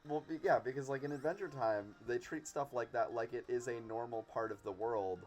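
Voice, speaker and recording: A young man hosting a casual podcast chat, speaking informally and haltingly, often repeating his words. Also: mid-range voice, a little mellow. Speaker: host.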